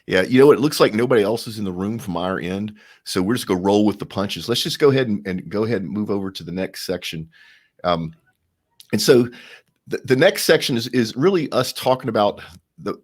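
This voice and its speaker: A man co-hosting and organizing a live online event in a casual way. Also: lowish voice.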